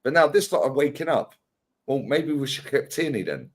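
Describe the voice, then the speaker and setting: Lively, teasing man, pitch all over. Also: normal speed. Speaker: football panel host. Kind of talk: casual banter on a fan panel.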